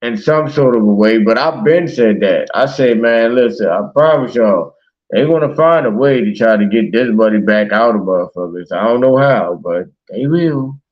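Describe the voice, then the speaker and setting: A man's voice: medium pitch, expressive, normal speed, dull audio. A man in casual, passionate conversation as a talk show host, speaking emphatically with slang and swearing. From Baltimore, United States.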